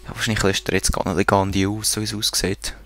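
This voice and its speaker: A man's voice: fairly low pitch, little pitch movement, fast talker, fairly bright tone. A man with a Swiss German accent giving casual commentary while playing a strategy game, muttering to himself and talking to the units on screen. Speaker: let's play gamer.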